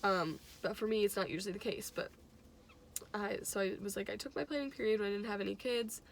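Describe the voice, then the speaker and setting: A young adult woman casually telling a personal story in an animated, giggly way, acting out bits of dialogue as she goes. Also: medium pitch, fast, vocal fry, slight background noise, low-quality recording. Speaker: teacher and music fan telling a personal anecdote.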